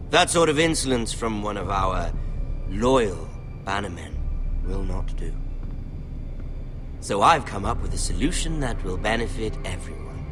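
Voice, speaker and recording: An actor playing a cruel, sadistically playful young lord in a fantasy game, taunting with deliberate mock politeness. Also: male voice, low-pitched. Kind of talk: dramatic fantasy game acting. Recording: music under dialogue.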